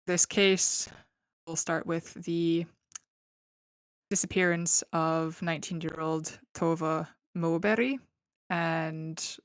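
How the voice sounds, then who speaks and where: A woman's voice: fairly low pitch, gravelly, dry recording. A young woman hosting a true crime podcast, telling the case in a chatty, laughing way with lots of fillers, on a close mic. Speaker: podcast host. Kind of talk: casual true crime storytelling.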